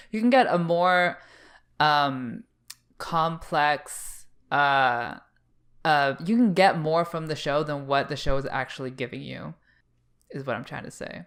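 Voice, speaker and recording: A man's voice: on the high side, sharp tone. A man co-hosting a podcast, chatting casually in a blunt, irreverent way. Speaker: podcast co-host. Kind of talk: casual chat.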